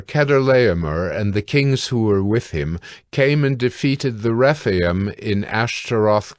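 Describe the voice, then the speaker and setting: A man narrating scripture from a script in a measured, deliberate way. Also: lowish voice, no echo, studio quality.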